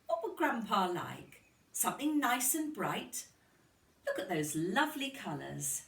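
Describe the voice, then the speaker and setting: A kind female primary teacher in a children's story, voiced by a woman reader. She is encouraging and gently instructive, with very varied pitch. The room echoes around her. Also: big pitch swings, unhurried, gravelly, exaggerated voice. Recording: roomy, distant microphone.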